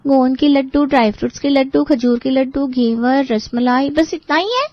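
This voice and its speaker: A woman's voice: sits high, moderate pace, dull audio, close to an everyday voice. A woman playing a spoiled young bride-to-be in a family drama, pleading and sweetly manipulative with her relatives. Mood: coaxing, cheerful. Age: young adult. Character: wheedling, spoiled young bride-to-be, pampered little sister. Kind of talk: Hindi family drama acting for story video.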